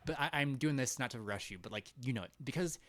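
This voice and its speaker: A man hosting a casual live chat, hesitant and rambling as he thinks out loud. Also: medium pitch, fast talker, noisy background. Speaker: live stream host.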